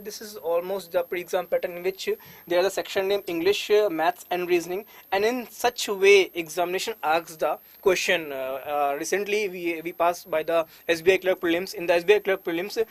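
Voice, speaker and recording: A man's voice: high-pitched, no room sound. A man teaching maths in an online exam-prep webinar, earnestly assuring students, with many fillers.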